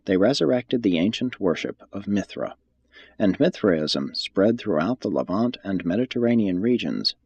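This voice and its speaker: A man reading a religious text aloud as scripted audiobook narration, in a measured, formal and solemn manner. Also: fairly low pitch, a little mellow, slightly rough, no echo.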